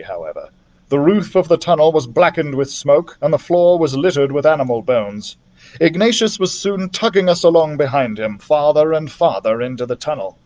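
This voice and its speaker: A man reading a children's adventure novel aloud as a library storyteller, in an expressive narrative style. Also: a little high, lo-fi sound.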